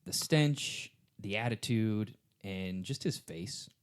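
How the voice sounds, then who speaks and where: A man's voice: lowish voice, takes it slowly, clean tone. A man co-hosting a podcast in casual chat, joking around and using plenty of slang. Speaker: podcast co-host.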